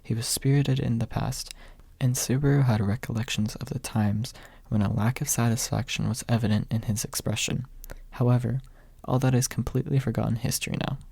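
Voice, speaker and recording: A man reading a story softly as scripted ASMR narration, in a hushed, measured way with a husky, breathy voice. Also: mid-range voice, fairly even intonation, no echo. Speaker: audiobook reader.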